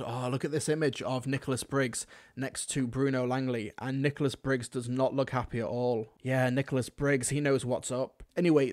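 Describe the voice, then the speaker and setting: A man's voice: medium pitch, a little mellow. A young man giving a scripted but conversational, opinionated review commentary. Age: young adult. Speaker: video reviewer.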